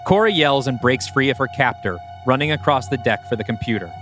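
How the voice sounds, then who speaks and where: A mid-pitched man narrates a scripted audio drama like a screenplay, scene by scene. Faint music sits behind his voice. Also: expressive, a little fast, a bit bright. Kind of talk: scripted audio play narration, present tense.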